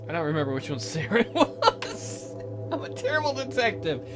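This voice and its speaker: A woman's voice: medium pitch, very animated, normal speed. A woman doing a game playthrough, reading text aloud and adding wry, sarcastic asides. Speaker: let's player. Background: game music.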